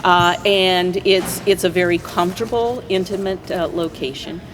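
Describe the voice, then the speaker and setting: A woman guest in a conversational interview, explaining things agreeably in halting phrases. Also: lowish voice, fairly even intonation. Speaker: community theater player, interview guest. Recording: faint noise and background ambience.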